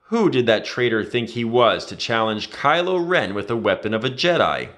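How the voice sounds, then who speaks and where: A man's voice: medium pitch, big pitch swings, normal speed, a bit bright. A man reading a children's adventure story aloud with dramatic, emphatic storytelling. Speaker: storybook reader.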